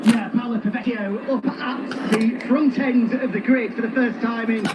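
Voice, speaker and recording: High-voiced male reporter amid loud trackside noise. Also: fairly even intonation, normal speed. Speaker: pit-lane reporter, interviewer. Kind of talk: live grid interview.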